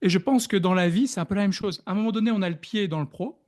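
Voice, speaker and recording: A Belgian man with a Belgian French accent, a productivity coach explaining his work in a podcast interview, thoughtful and halting with many fillers. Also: sits high, darkish tone. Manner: reflective, hesitant with frequent fillers.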